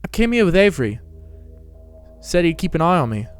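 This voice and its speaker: A man's voice: a little high, big pitch swings, fairly quick, clear, resonant tone, faint music behind, pitched above the performer's own voice. A man voicing a wary, timid young schoolboy with a bitter streak, in a dramatic story reading. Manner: wary, suspicious. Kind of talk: dramatic reading of fan fiction.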